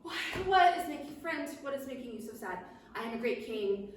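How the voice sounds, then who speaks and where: A woman voicing a pompous, bookish male king who speaks slowly and grandly, recorded in an echoey room from a distance. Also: on the high side, animated, slight background noise, natural voice. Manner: slow, grand, self-important. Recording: echoey room, mic far off.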